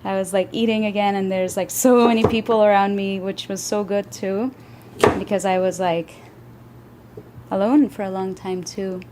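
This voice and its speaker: A woman's voice: medium pitch, steady tone, moderate pace. A woman chatting casually and hesitantly, saying "like" often, as she is interviewed. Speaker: interviewee, house resident. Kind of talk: casual, halting chat. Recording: noisy room with clicks. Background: kitchen clicks from chopping.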